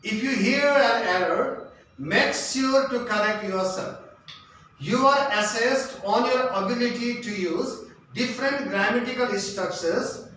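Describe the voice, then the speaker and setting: A man's voice: high voice, a bit bright. A man giving an instructional English lesson as a tutor, in an emphatic, repetitive, question-and-answer manner. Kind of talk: instructional lecture. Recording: echoey room, distant mic, faint noise.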